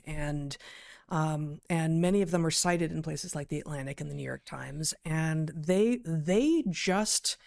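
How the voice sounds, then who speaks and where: A woman co-hosting a podcast, giving skeptical, critical commentary in a halting way. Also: deep voice, creaky, a little mellow. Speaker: podcast co-host, biologist.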